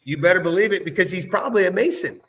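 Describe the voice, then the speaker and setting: A man's voice: sits high, lively intonation, very fast, fairly bright tone. A man co-hosting a casual, opinionated chat in an emphatic, insistent way. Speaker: show co-host.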